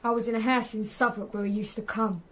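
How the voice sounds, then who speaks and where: A woman's voice: fairly high pitch, flat delivery, fast talker, fairly bright tone, a little hiss or hum, lightly acted. A woman playing a wary, plain-spoken teenage kept girl in a radio drama. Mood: wary, subdued.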